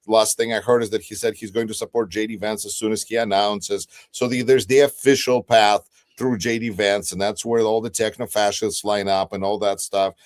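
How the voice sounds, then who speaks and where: A man's voice: fairly low pitch, level tone, normal speed, hardly any pauses. A man whose first language is Russian, talking politics casually on a livestream, hesitant with many fillers and restarts. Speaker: guest commentator. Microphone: remote livestream call.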